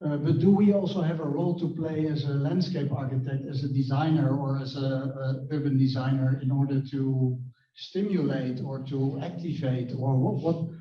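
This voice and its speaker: A man's voice: mid-range voice, fairly even intonation, normal speed, far from the mic. A man moderating a lecture, asking a question hesitantly with many fillers. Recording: dull roomy sound from off-mic.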